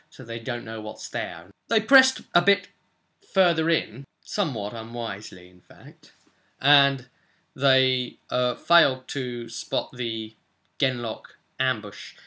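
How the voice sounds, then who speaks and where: A man in his twenties with a bright, forward voice talks casually as a game master. He recaps a tabletop role-playing session in an explanatory, vlog-like way, with an ordinary male pitch. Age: 20s. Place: small cluttered room at home.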